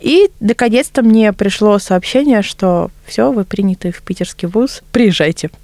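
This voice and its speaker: A woman in her twenties tells her own story in a conversational interview. Her pitch is medium and her manner chatty, with some self-irony. The recording is dry and close, with no room around the voice. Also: moderate pace, warm tone, no echo. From Latvia. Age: 20s. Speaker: interview guest.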